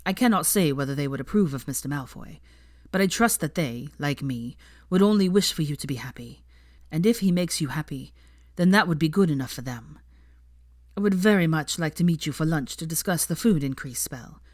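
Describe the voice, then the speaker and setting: Woman narrator reading fanfic, low voice, calm and measured, dry close mic. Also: dry recording. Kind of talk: audiobook-style fanfiction reading.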